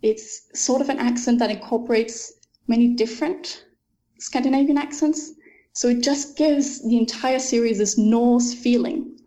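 A woman's voice: a little high, moderate pace, warm tone, poor audio. Enthusiastic woman author. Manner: thoughtful and enthusiastic, with frequent ums. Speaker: author being interviewed. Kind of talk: casual interview answers.

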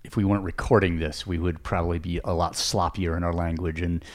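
Chatty podcast host, lowish voice. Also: male voice, little pitch movement, a little fast. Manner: chatty, reflective, joking. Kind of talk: casual podcast conversation.

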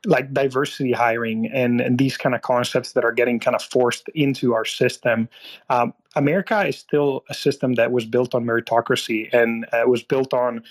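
Halting man from Italy, full of fillers. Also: mid-range voice, steady tone. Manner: halting, many fillers and restarts. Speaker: caller. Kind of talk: casual political discussion.

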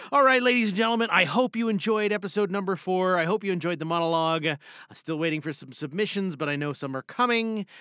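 A man's voice: high-pitched, a little fast, pushed and bright, clean tone, dry recording, clean studio sound. A man hosting a podcast in a casual, chatty, playful way with a bit of showmanship. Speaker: podcast host and amateur voice performer.

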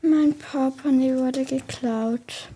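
A woman's voice: high voice, fairly even intonation, slow, dark tone, husky, heavily acted. A young female performer playing an earnest, worried girl sleuth in a children's radio play. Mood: upset.

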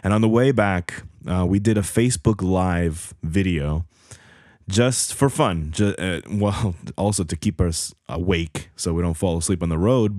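Hoarse, deep-voiced male podcast host. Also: darkish tone, dry recording, clean studio sound. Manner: rambling, self-correcting, many fillers. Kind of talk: casual solo podcast intro.

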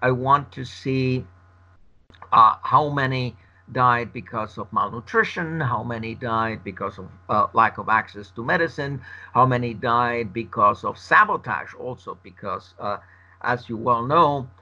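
A man whose first language is Spanish gives a long interview answer, arguing in a measured, emphatic way. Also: medium pitch, bright, forceful tone. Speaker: interview guest. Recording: dull lo-fi recording.

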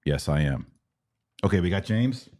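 A middle-aged American man with a notably low voice. He talks like a podcast host and teacher, explaining informally and prompting his listener with quick questions. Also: expressive, darkish tone. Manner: didactic, prompts with questions and rhetorical checks. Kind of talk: conversational live Bible Q&A teaching.

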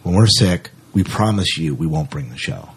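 Husky-voiced man hosting a chatty podcast. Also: sits low, animated, normal speed. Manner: enthusiastic, rambling with fillers. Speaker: podcast host. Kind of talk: casual podcast chat.

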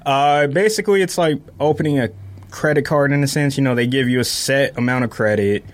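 A man's voice: mid-range voice, a little fast. A man in a casual podcast chat, explaining things informally step by step. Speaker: podcast guest talking about sneakers.

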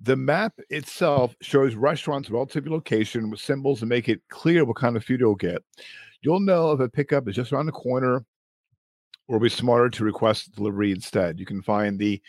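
A man's voice: medium pitch, normal speed, dry recording. A man co-hosting a casual talk show, breaking into laughter again and again. He stumbles, trails off and restarts his thoughts, and his voice sits close and dry on the microphone. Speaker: podcast co-host. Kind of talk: casual chat.

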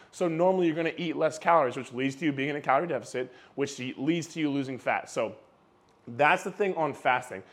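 A young man with a slightly hoarse voice, casually explaining fitness topics to his viewers in a chatty way, full of fillers and repeated words. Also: a little high. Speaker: fitness video creator. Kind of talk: casual talking-to-camera explainer.

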